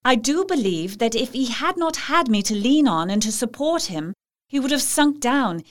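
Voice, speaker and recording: A woman's voice: on the high side, expressive, normal speed, close to an everyday voice. An actress playing a devoted, anxious young English wife, narrating her diary earnestly in an audio drama. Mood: uneasy.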